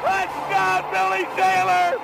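An American male football announcer shouts exultantly in an extremely high, strained pitch. His words are drawn out and gravelly. It is an archival radio clip buried in loud noise. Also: completely flat delivery, slow, a loud crowd. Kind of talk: excited radio sports commentary.

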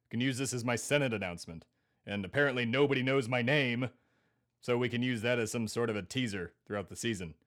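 A man's voice: mid-range voice, fast, a bit bright, natural voice. A man playing a pompous blue-blood lobbyist and podcast host with a New England accent in a scripted comedy. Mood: scheming. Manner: pompous, indignant, declamatory.

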